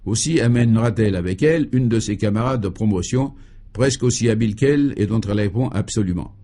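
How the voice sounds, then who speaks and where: A man's voice: lowish voice, fast talker, mellow. A man voicing a talkative, worldly male friend and guide in a comic radio serial, emphatic and mock-formal. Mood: persuasive.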